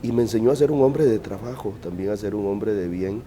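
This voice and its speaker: A man's voice: fairly low pitch, fairly quick, mellow, a little hiss or hum. A Costa Rican man, a lawyer, telling his family story in an interview with hesitant fillers and repeated words. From Costa Rica. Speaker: guest, lawyer.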